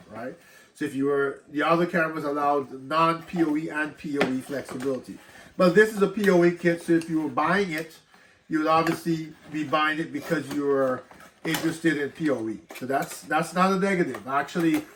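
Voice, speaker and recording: A man's voice: on the high side, a bit bright. Stuffy-nosed man unboxing tech gear. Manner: reads specs off the box, then explains in loose asides. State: stuffed up from a cold. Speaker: tech reviewer. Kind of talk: casual unboxing walkthrough.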